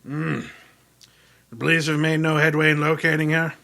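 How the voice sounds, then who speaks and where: An actor playing a brusque, skeptical private detective who questions with authority in an old-time radio mystery. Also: male voice, fairly high pitch, level tone, gravelly, pushed and bright. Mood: probing, skeptical. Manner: brisk, commanding, skeptical.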